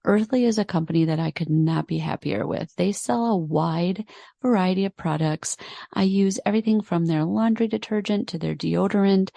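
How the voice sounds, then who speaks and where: A woman's voice: lowish voice, vocal fry, dark tone. A woman in her thirties hosting a small-business podcast, chatty and thinking aloud with fillers. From Chicago area, United States. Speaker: podcast host. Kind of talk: interview podcast.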